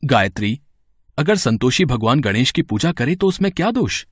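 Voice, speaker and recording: Kind-hearted father in a devotional tale, played by a man: gentle, humble, mid-pitched, very animated melody. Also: moderate pace, slightly rough, no echo, clean studio sound. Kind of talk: devotional storybook acting.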